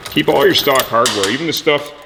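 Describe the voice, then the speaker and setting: A man's voice: medium pitch, a little fast, vocal fry, noisy background. A plain-spoken, folksy man hosting a casual step-by-step motorcycle install tutorial, explaining things as he goes. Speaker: host of motorcycle parts install videos. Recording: echoey, reverberant room.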